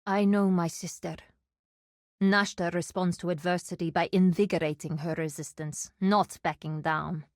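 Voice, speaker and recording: Stern desert warrior woman played by an actress, low-voiced, formal and measured, dry studio game lines. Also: fairly even intonation, no room sound, natural voice. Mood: resolute. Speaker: game character.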